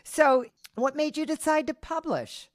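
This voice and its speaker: A woman's voice: high voice, unhurried, no room sound, clean studio sound. A woman hosting an interview, upbeat and encouraging with her guests. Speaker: host.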